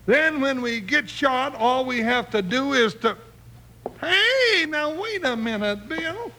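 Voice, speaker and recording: An actor playing a grumbling, excitable cowboy sidekick with an American Western accent and folksy, blustering delivery in a 1950s radio western. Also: male voice, very high voice, exaggerated voice. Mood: reluctant, grumbling. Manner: folksy, blustering, drawn-out exclamations. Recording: noisy old-time radio recording.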